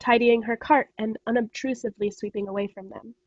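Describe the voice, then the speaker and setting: A woman with a fairly high voice reads stage directions for a play. It is scripted narration, heard dry through slightly dull video-call audio. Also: fairly even intonation, a little fast, no echo.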